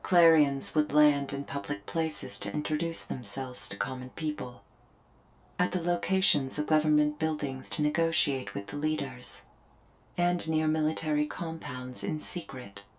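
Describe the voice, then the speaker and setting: A woman plays a solemn alien invader woman with a low-pitched voice. She explains herself to a human in formal, carefully measured phrases, leaving deliberate pauses. It is a scripted ASMR roleplay with music playing faintly behind her. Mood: grave, measured.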